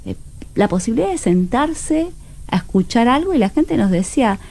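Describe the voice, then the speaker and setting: A woman's voice: on the high side, very animated, moderate pace, gravelly, dark tone. A woman with a Rioplatense Argentine accent, speaking conversationally as a guest in a radio interview.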